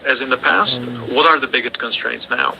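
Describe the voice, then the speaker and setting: A man's voice: mid-range voice, fairly quick, vocal fry. A man moderating an investor call, reading out prepared questions. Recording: dull, noisy conference call audio.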